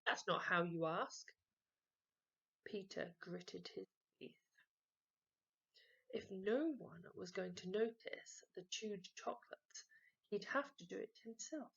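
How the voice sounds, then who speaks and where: A woman narrates a children's story with expression. Her voice has plenty of creak and she takes her time. The recording is slightly dull. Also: mid-range voice, lively intonation, slow.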